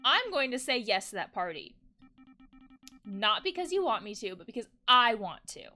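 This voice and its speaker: A woman acts out an anxious, exasperated young person from a visual novel game. The voice is high and very expressive in pitch, fed up and dramatic, with music behind it. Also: moderate pace. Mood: defiant. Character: fed-up, anxious young human longing to be normal. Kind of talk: reading visual novel dialogue aloud.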